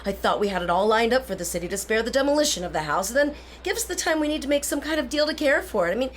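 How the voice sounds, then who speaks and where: A woman's voice: fairly high pitch, expressive, racing, a little hiss or hum, lightly acted. An actress playing a determined, hot-tempered 1930s woman campaigner in a radio drama, earnest and insistent. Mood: indignant. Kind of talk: period radio drama acting.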